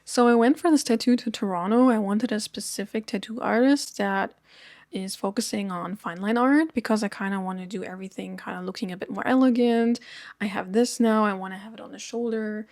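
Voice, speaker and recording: A woman in her thirties from Germany, talking earnestly to camera in a personal vlog, with frequent soft ums. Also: mid-range voice, fairly quick, no room sound, clean studio sound. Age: 30s. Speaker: vlogger. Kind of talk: personal storytelling chat to camera.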